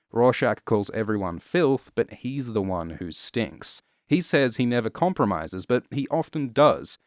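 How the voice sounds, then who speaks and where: A man narrating a scripted explainer in a dry, deadpan way, with casual asides. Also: fairly low pitch, no room sound. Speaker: host and narrator of explainer videos. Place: close, dry voice-over recording.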